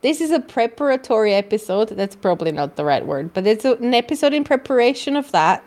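A woman's voice: a little high, big pitch swings, fast talker, darkish tone. Rambling Austrian-accented woman host. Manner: rambling, frequent restarts and swearing. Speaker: podcast co-host. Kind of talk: casual chat.

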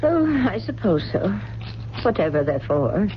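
An actress playing a wealthy, fragile older widow, grieving and forgetful, with genteel, theatrical delivery in a 1970s radio drama. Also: female voice, on the low side, sing-song, unhurried. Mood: resigned, wistful. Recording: dull, noisy, humming old radio recording.

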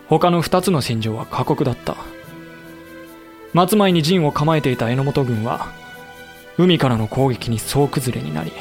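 A man acting as a wry, composed samurai official who narrates a war in a literary, measured way for an audio drama. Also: medium pitch, lightly acted. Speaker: narrator and character.

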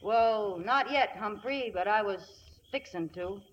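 An actress playing a brash, rough-talking frontier woman with a soft spot, using blunt, folksy western slang in a radio comedy. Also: medium pitch, a little slow, close to an everyday voice. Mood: shy, tender. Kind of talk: 1950s radio comedy acting. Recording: muffled, noisy 1950s radio broadcast.

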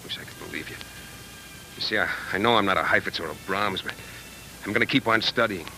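A man's voice: fast, sharp tone, soft background music. A man playing a proud, touchy, cynical yet earnest struggling violinist in 1940s radio drama style. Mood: defensive, earnest. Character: skinny, proud, touchy young struggling New York violinist. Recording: noisy old radio broadcast with rumble.

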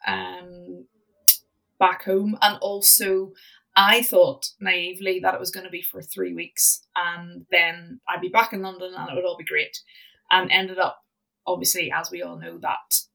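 A woman's voice: medium pitch, creaky, a bit bright, recorded from a distance, rough recording. A woman with a Northern Irish accent, a podcast guest chatting casually about her career with lots of ums and restarts. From Northern Ireland. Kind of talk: casual interview chat.

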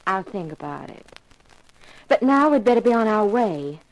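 An actress playing a poised, alluring young femme fatale with a coy, self-assured manner in a 1950s radio drama. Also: female voice, mid-range voice, sing-song, normal speed, highs cut off. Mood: coy, teasing.

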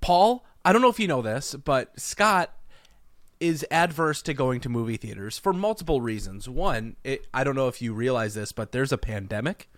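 A man co-hosting a podcast, talking casually and teasing the others. His voice sits at an ordinary pitch, and the recording is dry and close. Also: expressive, moderate pace, dry recording. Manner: joking, teasing. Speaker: podcast co-host. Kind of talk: casual chat.

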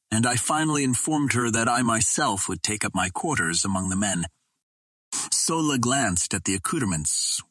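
A man reading an adventure novel aloud as scripted narration, in a measured storytelling manner. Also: lowish voice, bright, forceful tone, slightly rough, no room sound. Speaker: audiobook narrator.

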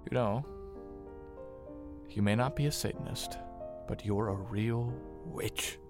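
An actor playing a flustered, pious small-town reverend in an audio drama, protesting in halting, formal speech. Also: male voice, lowish voice, over music. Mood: grudging. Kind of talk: audio drama acting, period dialogue.

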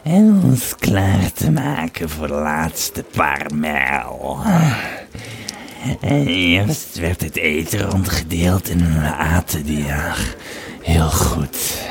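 A man playing a Roman legionary who grimly recounts camp intrigue in an audio drama, deliberate and often hushed. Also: rumbling low, gravelly, heavily acted. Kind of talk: first-person audio drama storytelling.

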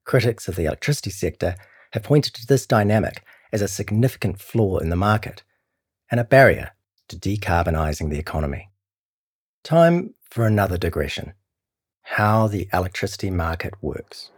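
A man with a New Zealand accent reading a scripted long-form news feature as narrator, in a measured, serious way. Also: deep voice, expressive, husky, no room sound, studio quality. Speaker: narrator of a long-read journalism podcast.

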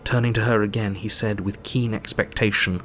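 A man's voice: lowish voice, steady tone, moderate pace, fairly bright tone, a little hiss or hum, lightly acted. An actor playing a composed doctor who narrates events from his journal in an audio drama.

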